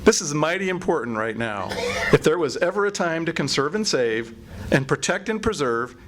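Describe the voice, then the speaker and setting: A fairly high, bouncing voice for a chummy radio pitchman , acted by a man. He is glib and wisecracking in the old announcer style, with clear noise from the live stage recording. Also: big pitch swings, moderate pace. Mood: earnest, selling. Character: breezy wisecracking pitchman pal in radio comedy. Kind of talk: 1940s radio announcer acting.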